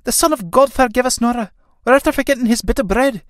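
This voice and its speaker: A woman's voice: on the low side, steady tone, fairly quick, no echo, clean studio sound, pitched above the performer's own voice, close to an everyday voice. A woman playing an anxious, scolding young Irish elder sister in a stage drama, speaking in an earnest, urgent way. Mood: scolding, distressed.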